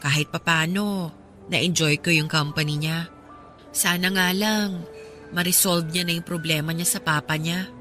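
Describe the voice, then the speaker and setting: Filipino radio romance, female: gentle, weary young ex-girlfriend, mid pitch, very bright tone, hesitant delivery, music underneath. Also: fairly even intonation, a little slow. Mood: regretful, resigned. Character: guilt-ridden, weary young woman, gentle former girlfriend.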